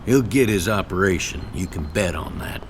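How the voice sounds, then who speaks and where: An actor playing a rough, protective country man with folksy rural speech in an audio drama. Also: low-pitched, expressive, normal speed, slight background noise. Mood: worried, resolute.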